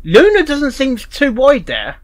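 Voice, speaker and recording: A casual reaction commentator with a very lively, moving pitch. Remarks come in short, repetitive bursts. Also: normal speed. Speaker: reactor to a story reading.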